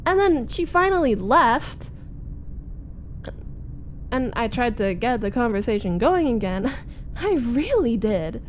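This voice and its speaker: A frustrated, needy young woman played by a voice actress vents to her roommate in an ASMR roleplay. Her voice is fairly high and soft-edged, and she complains slowly in halting, jealous, dramatic bursts over a faint low rumble. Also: very animated. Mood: exasperated. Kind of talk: ASMR roleplay, intimate first-person monologue.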